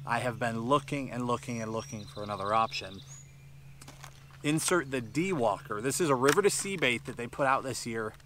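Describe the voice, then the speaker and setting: A man in his thirties giving a fishing tutorial on a boat outdoors, explaining with lively gestures while sweating in the heat. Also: medium pitch, slight background noise. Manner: explanatory, animated hand gestures. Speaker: fishing instructor. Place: seated on a bass boat outdoors by a tree-lined shore.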